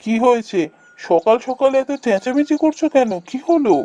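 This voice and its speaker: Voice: high voice, lively intonation, moderate pace, mellow, dry recording. An actor plays a village husband and father in a fairy-tale cartoon. He is skeptical and grumbling. His delivery is dismissive and questioning.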